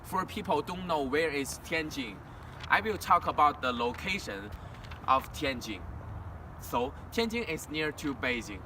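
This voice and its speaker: A man's voice: on the high side, lively intonation, bright, forceful tone. Young Chinese man talking outdoors, far from mic. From China. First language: Mandarin. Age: young adult. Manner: halting, with frequent restarts and simple learner grammar. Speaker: international student. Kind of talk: walk-and-talk self-introduction presentation. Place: outdoors in a town, walking near a house and street. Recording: distant outdoor recording with traffic noise.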